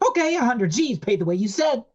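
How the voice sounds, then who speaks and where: A woman plays a tough gangster boss from a noir radio play. The voice sits high and leaps around a great deal, with menacing, slangy tough-guy delivery. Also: very animated, fairly quick, a little mellow, muffled recording. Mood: gruff, defiant. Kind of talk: hard-boiled radio play acting.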